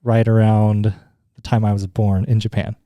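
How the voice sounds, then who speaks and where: An easygoing young man from Utah chatting as a guest, agreeable and a little hesitant. His voice is smooth and mellow, and the recording is close and dry. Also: fairly low pitch, level tone, moderate pace, dry recording. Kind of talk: casual chat.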